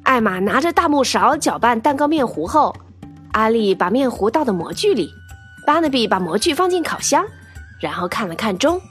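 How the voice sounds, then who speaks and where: Lively higher-voiced woman storyteller. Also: normal speed. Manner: expressive. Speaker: narrator. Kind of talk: children's audio drama storytelling. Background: music.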